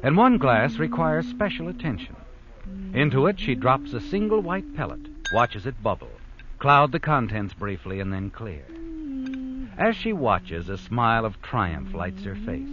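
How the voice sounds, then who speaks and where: A man's voice: medium pitch, sing-song, a little slow. An actor playing an ominous, all-knowing mystery narrator who tells his tale in a brooding, dramatic 1940s radio style. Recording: dull old radio recording with prominent music.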